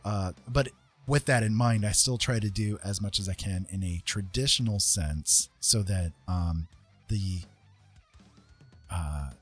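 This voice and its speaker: A man giving a casual live-stream painting tutorial in an informal, self-deprecating way, with a husky voice. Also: lowish voice. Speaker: art streamer and author. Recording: soft guitar music behind voice. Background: guitar music.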